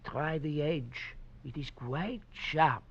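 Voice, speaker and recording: A gentle old knife maker. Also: male voice, a little high, takes it slowly, gravelly, husky, exaggerated voice. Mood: calm, knowing. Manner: gentle, paternal, calls him my son. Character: kindly mysterious old male knife maker. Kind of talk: 1940s radio acting. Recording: dull old radio recording.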